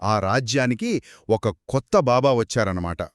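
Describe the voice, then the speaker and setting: A man's voice: mid-range voice, big pitch swings, moderate pace, dry recording, studio quality. Man asking eager questions in a story. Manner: curious, prompting questions and reactions. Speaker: co-host. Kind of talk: scripted story dialogue.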